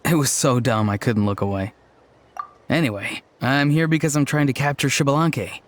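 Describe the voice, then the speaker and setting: An actor playing an earnest, odd young tribesman in a video game, deadpan and matter-of-fact. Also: mid-range voice, moderate pace, slight background noise, natural voice.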